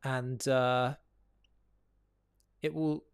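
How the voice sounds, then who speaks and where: Measured male presenter, close dry sound. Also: mid-range voice, flat delivery, creaky, clear, resonant tone, no echo. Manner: measured, deliberate, phrase by phrase. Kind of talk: reflective commentary to camera.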